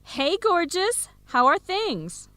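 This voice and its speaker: An actress with an American accent playing a sassy, protective best friend from Philadelphia in a radio drama, with an emphatic delivery. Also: female voice, very high voice, sing-song, takes it slowly, fairly bright tone, no echo, full character voice. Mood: friendly, curious. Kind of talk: scripted radio drama dialogue for English learners.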